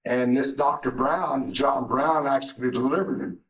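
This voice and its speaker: An older man, a Navy veteran, reminiscing in an interview, halting with many fillers and restarts. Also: medium pitch, steady tone, lo-fi sound.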